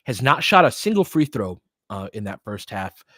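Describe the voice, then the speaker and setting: A man doing live basketball play-by-play and analysis as a host, informal and openly partisan, excitable on big plays. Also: on the low side, moderate pace, slightly rough, dry recording, studio quality.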